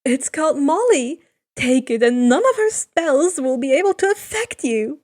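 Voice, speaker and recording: Lady doing a coy trickster god guy, high n sing-songy, friendly but kinda unhinged, storybook style. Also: lively intonation, normal speed, a little mellow. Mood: breezy, chirpy. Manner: coy, chirpy, sing-song. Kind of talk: fan fiction character reading.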